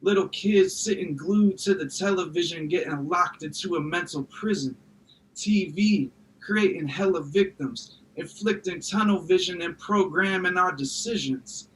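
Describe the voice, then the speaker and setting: Male slam poet, high register, rhythmic and emphatic rhymed delivery, slightly noisy recording. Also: fairly bright tone. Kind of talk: rhyming slam poetry recitation.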